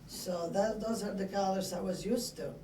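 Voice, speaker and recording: Older woman, Italian accent, interviewee, casual halting storytelling, far from the mic, some background noise. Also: medium pitch, mellow. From Italy. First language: Italian dialect. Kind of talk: casual oral-history reminiscing. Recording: echoey room, distant mic, background noise.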